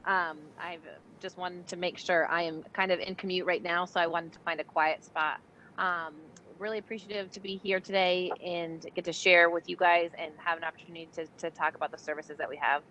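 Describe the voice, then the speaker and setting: A woman's voice: lowish voice, steady tone, fairly quick, fairly bright tone, a little hiss or hum, rough recording. A woman presenting over a video call, upbeat and friendly. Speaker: company vice president presenting.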